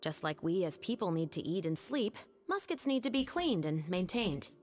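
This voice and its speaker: An actress voicing a cold, dignified female musketeer who gives calm, firm instructions. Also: fairly low pitch, normal speed, soft background music. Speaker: weapons instructor in a game cutscene.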